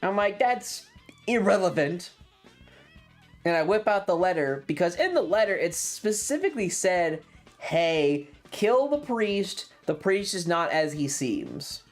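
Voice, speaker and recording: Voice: mid-range voice, unhurried. A streamer telling a story to chat in a casual, sweary, sardonic way, acting out the dialogue as they go. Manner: sweary, sardonic, animated retelling with acted-out dialogue. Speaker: streamer hosting a variety game stream. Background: video game music.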